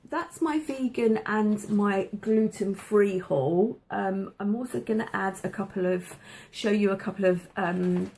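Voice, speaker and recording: A woman chatting casually to camera at home, with a slight cough and a dry throat, pausing often with ums and repeating words for emphasis. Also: fairly low pitch, vocal fry, a little mellow. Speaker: vlogger showing a food haul.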